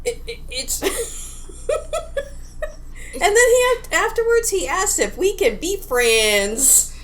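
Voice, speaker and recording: A woman's voice: extremely high pitch, big pitch swings, extremely slow, a bit bright. A woman chatting casually and playfully as a co-host of a video game podcast.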